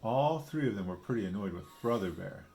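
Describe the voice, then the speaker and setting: A low-voiced man reads a children's picture book aloud. His pitch moves around a lot as he narrates and adds simple, casual explanations for a young listener. Music plays behind him. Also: expressive, a little fast, creaky, warm tone. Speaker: storybook reader.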